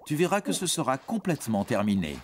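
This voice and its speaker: A man's voice: mid-range voice, big pitch swings, a little fast, a little hiss or hum. A man giving scripted educational narration for young teens in a reassuring, explanatory manner. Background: background music.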